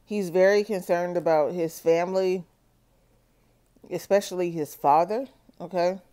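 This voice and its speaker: Woman, tarot reader, low-pitched, warm dark tone, slow measured delivery. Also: fairly even intonation. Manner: slow, measured, repeats "okay?" to viewers. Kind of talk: solo tarot reading video.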